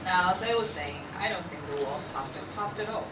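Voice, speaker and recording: A persistent, reasonable pig juror read by a young woman lays out doubts in a measured, lowish voice. It is a courtroom comedy read aloud, heard from off in an echoey room. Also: lively intonation, a bit bright. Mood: reasoning. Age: young adult. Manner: measured, explanatory, persuasive. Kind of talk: student table read of a comic courtroom play. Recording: distant, echoey room with clear background noise.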